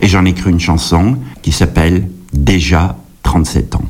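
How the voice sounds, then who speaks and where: A man's voice: deep voice, steady tone, moderate pace, a little mellow. A middle-aged man from Kabylie, a singer-songwriter guest, answering interview questions with anecdotes and often repeating words as he restarts. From Kabylie, Algeria.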